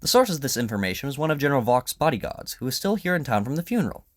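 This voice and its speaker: A man playing a brisk, confident detective in an audio drama, with a probing, theatrical, declarative manner. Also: mid-range voice, big pitch swings, no room sound, clean studio sound, pitched above the performer's own voice. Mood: confident, expository. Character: brisk, confident male detective, incisive and commanding.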